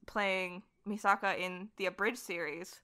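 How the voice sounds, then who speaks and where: Bright-voiced woman chatting casually. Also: mid-range voice, measured pace. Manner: animated storytelling, often laughing, self-deprecating. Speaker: podcast guest; voice actor. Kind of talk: casual conversational chat about fandom.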